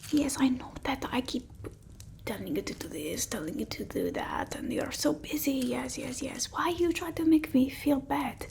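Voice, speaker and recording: A woman playing a bossy, fussy old-world vampire mistress in an ASMR roleplay, whispering slowly and breathily. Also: high voice, lively intonation, creaky, slight background noise. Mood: fussing, coaxing, then wounded. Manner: slow, hushed, haughty, fussing over the listener.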